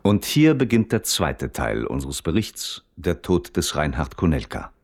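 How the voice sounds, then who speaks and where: Guy narrating a radio play like a factual report, super deep but lively intonation, measured. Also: no echo. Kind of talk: scripted narration, documentary-like report. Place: dry studio.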